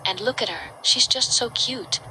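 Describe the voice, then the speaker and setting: A woman plays a small sinister candy creature from a cartoon horror tale. The voice is bright and sharp, sugary and taunting, possessive of its captive, with faint noise behind. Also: on the high side, moderate pace, pitched above the performer's own voice, poor audio. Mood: mocking.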